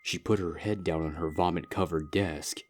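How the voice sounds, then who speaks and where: A man's voice: low-pitched, fairly even intonation, normal speed, a little hiss or hum. A man reading scripted dramatic fiction as the narrator. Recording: music under the voice.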